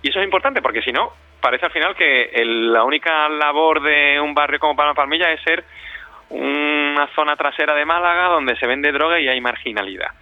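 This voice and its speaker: A man's voice: on the high side, brisk, no echo. A man answering interview questions conversationally, reflective and often repeating words as he searches for phrasing. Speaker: interviewee, community radio director. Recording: dull lo-fi sound, dry.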